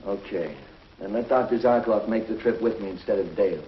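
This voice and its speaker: A man's voice: fairly low pitch, a little fast, a little hiss or hum, close to an everyday voice. Guy playing a stubborn protective space pilot, echoey room, muffled old tv audio. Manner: firm, protective, earnest. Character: brave square-jawed male space hero pilot. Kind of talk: 1950s TV drama acting. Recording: roomy, distant, lo-fi 1950s TV audio.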